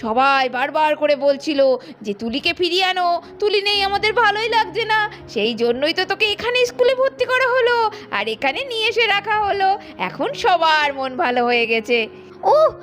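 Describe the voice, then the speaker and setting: A doting, proud young mother from a doll cartoon, voiced by a woman. Her voice is very high and sing-song with lively swings, and she chides her family fondly. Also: normal speed, soft background music, exaggerated voice. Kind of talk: Bengali doll cartoon voice acting.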